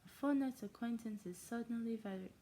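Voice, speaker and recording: A woman doing a dry, teasing old gentleman. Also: on the high side, fairly quick, natural voice, low-quality recording. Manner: mock German accent, later mock Scottish; stumbling, restarting words. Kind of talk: amateur read-aloud of a classic novel.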